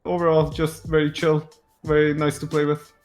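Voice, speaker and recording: A man's voice: a little high, monotone, moderate pace, darkish tone. A man who is a pro gamer, giving casual, chatty interview answers. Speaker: pro esports player. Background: background music.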